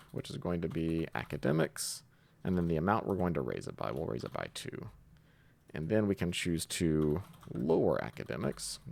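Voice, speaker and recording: Male tutorial host, low gravelly voice, informal step-by-step explaining, thinking aloud at the keyboard. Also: dry recording. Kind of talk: casual screencast coding tutorial, explaining while typing.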